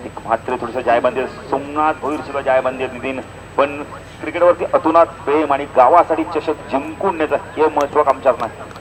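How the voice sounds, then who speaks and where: A man giving live play-by-play cricket commentary in an animated announcer's delivery, with punchy stock phrases. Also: mid-range voice, brisk, low-quality recording. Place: outdoor cricket ground. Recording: outdoor event PA with crowd noise. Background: crowd and outdoor event noise.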